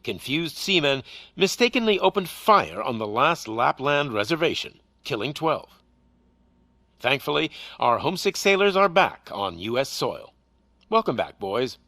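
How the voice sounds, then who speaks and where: A bright, polished TV anchor. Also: male voice, medium pitch, very animated, unhurried, no echo, full character voice. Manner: polished, upbeat newsreader delivery. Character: smooth male TV news anchor. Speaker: news anchor. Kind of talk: video game cutscene acting.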